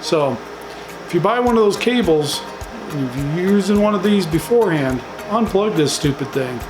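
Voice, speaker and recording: A man's voice: high-pitched, lively intonation, moderate pace. Man chatting casually in an echoey room. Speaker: amateur radio vlogger. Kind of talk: casual talk to camera. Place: small home radio room. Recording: roomy echo, music behind.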